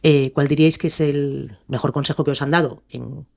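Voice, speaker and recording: A man's voice: on the high side, steady tone, moderate pace, vocal fry, darkish tone. A man with an accent from Spain, co-hosting a podcast in casual interview chat. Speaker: podcast co-host.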